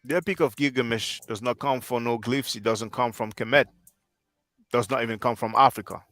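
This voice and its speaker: A man's voice: medium pitch, fairly quick, fairly bright tone. A man in his thirties whose first language is French, hosting a livestream and lecturing earnestly while answering chat on a headset mic. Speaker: livestream host.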